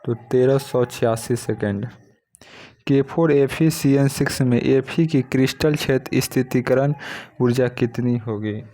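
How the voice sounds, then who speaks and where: A man with a medium-pitched voice teaches for a competitive exam. He reads each practice question aloud in a methodical way. Then he states the correct option and moves on. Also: measured pace, warm tone. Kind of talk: reading practice questions and giving answers.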